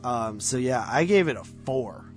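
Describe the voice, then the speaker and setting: A man's voice: mid-range voice, lively intonation, normal speed. A man co-hosting a movie podcast in casual review chat, slangy and blunt, with some mild swearing. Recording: guitar music playing behind the voice.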